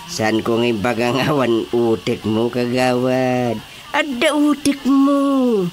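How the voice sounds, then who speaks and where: A man's voice: mid-range voice, lively intonation, slow pace, soft background music. A man with an Ilocano accent playing a teasing, drawling village chairman in a radio drama comedy. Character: teasing, slow-talking village chairman, comically mocking.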